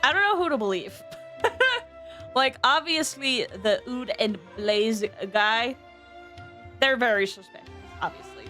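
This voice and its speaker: A woman's voice: fairly high pitch, expressive, unhurried, pushed and bright. A woman streaming a video game, giving chatty, playful, casual live commentary. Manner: chatty, playful, reads game text aloud. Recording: game music behind the voice. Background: game music.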